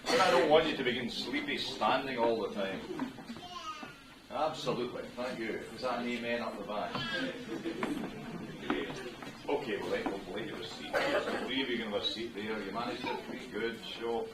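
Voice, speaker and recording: A jovial middle-aged Scottish minister leads a wedding, chatting and joking with the guests. He is caught from some way off, and his voice rings around a roomy venue over steady background noise. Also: mid-range voice, normal speed, recorded from a distance. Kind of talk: officiating a wedding ceremony.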